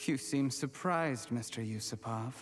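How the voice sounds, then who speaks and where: An actor playing a resentful young male schemer in a video game, speaking with deliberate, theatrical, cold politeness. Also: mid-range voice, music in the background, close to an everyday voice. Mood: bitter. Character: resentful young male schemer, coldly vengeful former underling.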